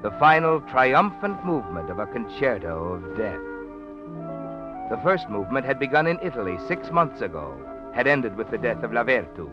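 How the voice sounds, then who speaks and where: Male actor narrator for vintage radio thriller. Ominous, knowing, dramatic, over loud music on dull old recording. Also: mid-range voice, very animated, moderate pace, close to an everyday voice. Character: ominous, all-knowing male storyteller taunting the guilty. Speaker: narrator of a mystery series. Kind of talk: 1940s radio mystery narration in second person.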